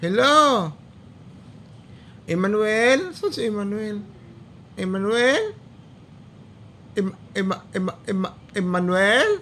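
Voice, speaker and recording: A woman's voice: mid-range voice, big pitch swings, slow pace, pauses often, a bit bright, slight background noise. A woman with a Filipino accent chatting playfully with a small child, asking coaxing questions and repeating the answers back. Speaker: parent vlogger making a prank call. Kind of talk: casual playful phone chat with a small child.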